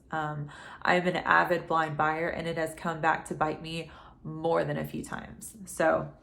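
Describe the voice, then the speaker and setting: A woman in her thirties, getting over a head cold with a dry throat, talking quietly and chattily at home at night as a fragrance reviewer. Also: lowish voice. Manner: chatty, rambling, with frequent ums. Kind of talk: casual vlog talk to camera.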